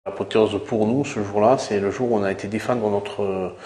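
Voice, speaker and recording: A man giving reflective interview testimony as a local elected official, haltingly and repeating words. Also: fairly low pitch, steady tone, dark tone. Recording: echoey room.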